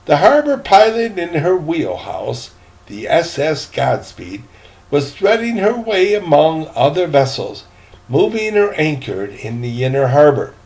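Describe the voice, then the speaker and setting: Older man reading aloud, slow and expressive. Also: fairly high pitch. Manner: casual, self-correcting. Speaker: grandfather reading a book aloud. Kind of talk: informal storybook narration with asides.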